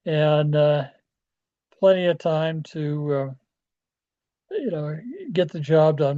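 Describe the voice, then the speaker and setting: A dull, lo-fi recording of a man with a dark, mellow voice. He gives an informal training talk in a folksy, plain-spoken way, often stalling on hesitations as he goes. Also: fairly high pitch. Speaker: amateur radio club presenter. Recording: dull, lo-fi audio.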